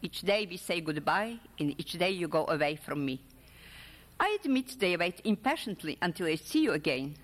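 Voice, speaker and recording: A woman's voice: fairly low pitch, expressive, normal speed, lightly acted. A woman voicing an earnest, hesitant older man in love, in a literary story reading. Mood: anxious, confessing. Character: silver-haired widowed older man, earnest, hesitant suitor. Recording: echoey hall with long reverb.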